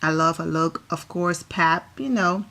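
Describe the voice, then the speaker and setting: A woman's voice: lowish voice, unhurried, fairly bright tone. A woman from Liberia talking through red-carpet fashion in a chatty, enthusiastic, home-recorded commentary. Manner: chatty, enthusiastic, apologetic asides. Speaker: fashion vlogger. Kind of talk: casual commentary.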